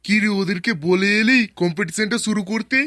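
A man's voice: high voice, brisk, close to an everyday voice. A man voicing a bossy, combative cartoon village rival who talks in a swaggering, taunting, slangy way. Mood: indignant. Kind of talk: cartoon dialogue. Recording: music bed behind.

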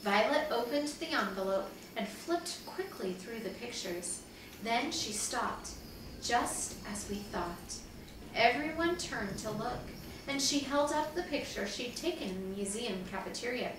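A woman in her thirties, a teacher, reading a storybook aloud to children in an expressive way. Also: fairly high pitch, unhurried. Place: living room at home, indoors. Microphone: far camera. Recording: distant mic, very echoey room, noisy.

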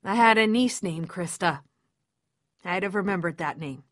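A woman's voice: on the low side, moderate pace, no echo, studio quality, natural voice. A woman voicing a kindly, apologetic survivor camp gatekeeper in a video game, with a folksy, motherly, hesitant manner. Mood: apologetic, gentle. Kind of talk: dramatic video game dialogue.

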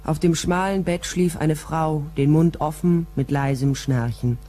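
A woman's voice: low-pitched, steady tone, unhurried, mellow, soft background music. An actress playing a tough female private detective, narrating a radio crime drama in terse, matter-of-fact sentences. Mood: tense, matter-of-fact. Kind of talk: first-person radio play narration.

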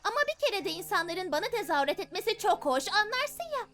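A woman voicing a cheerful, feisty young female imp in a fan dub of a cartoon, bubbly and affectionate but sharp when hurt. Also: extremely high pitch, big pitch swings, quick pace. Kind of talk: fan dub of animated series. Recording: music playing behind.

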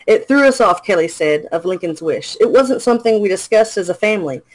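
A man's voice: high-pitched, animated, a little fast, poor audio. A man co-hosting a casual podcast, reading a news story aloud with small slips and self-corrections. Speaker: podcast co-host.

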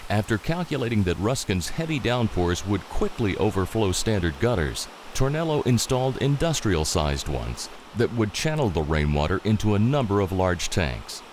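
A man's voice: on the low side, sing-song, normal speed, few pauses, a little hiss or hum. A man reading scripted promotional documentary narration as a narrator.

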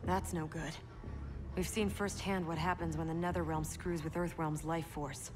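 A woman acting a brisk, commanding young military officer in a video game. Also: deep voice, fairly even intonation, music in the background, lightly acted. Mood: concerned.

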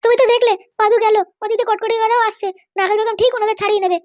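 A man voicing a caring cartoon aunt who coaxes and reassures in a squeaky voice. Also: little pitch movement, rapid-fire, no room sound, clean studio sound. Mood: caring, reassuring. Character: caring, soothing cartoon aunt tending the wounded. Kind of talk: amateur comedy cartoon dubbing.

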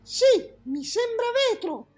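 Very high-pitched cartoon creature. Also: female voice, sing-song, takes it slowly, a little mellow, soft background music, full character voice. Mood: assertive. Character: small, high-voiced cartoon fantasy creature, pert and knowing. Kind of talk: children's cartoon character acting.

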